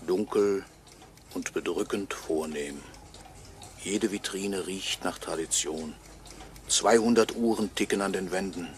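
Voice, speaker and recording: An actor playing a wry, resigned murdered clock repairer who narrates in measured, sardonic phrases in a 1950s radio play. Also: male voice, sits low. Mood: brooding, bitter. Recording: faintly noisy 1958 radio recording.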